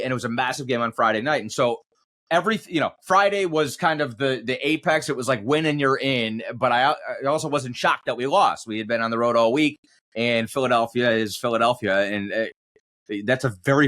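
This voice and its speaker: Guy who calls games on radio, just chatting on a podcast, thoughtful, kinda restarts his phrases, normal mid pitch. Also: a bit bright. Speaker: sports radio play-by-play announcer. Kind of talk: conversational podcast interview.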